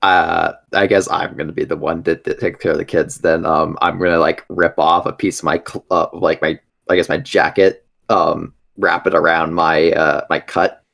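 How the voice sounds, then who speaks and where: A man's voice: deep voice, flat delivery, normal speed, gravelly, few pauses, fairly bright tone. A man playing a tabletop game, chatting casually and hesitantly, often restarting his sentences. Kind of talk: casual improvised game chat.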